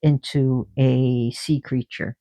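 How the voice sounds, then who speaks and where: A woman giving a casual spiritual talk about myth and oracle cards, halting often and restarting as she searches for words. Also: very deep voice, flat delivery, warm tone, no room sound, clean studio sound. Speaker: oracle card reader.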